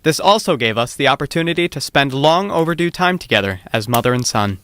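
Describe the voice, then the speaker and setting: A man's voice: mid-range voice, lively intonation, fairly quick, a bit bright, close to an everyday voice. A man playing a sincere, contented storyteller and jester with an articulate, playful delivery in an audio drama. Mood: narrating.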